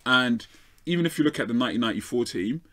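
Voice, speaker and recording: A London man in a sports debate, talking at a rapid clip. He drives his argument forward with emphasis and checks in with rhetorical tags as he goes. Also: mid-range voice, animated, a bit bright. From South London, England. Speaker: panelist on a football fan show.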